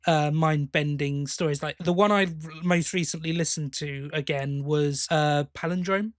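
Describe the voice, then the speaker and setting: A man's voice: fairly high pitch, steady tone, normal speed, no room sound, studio quality. A man co-hosting a podcast, chatting casually about audio dramas, with hesitant starts and repeated words. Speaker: podcast co-host.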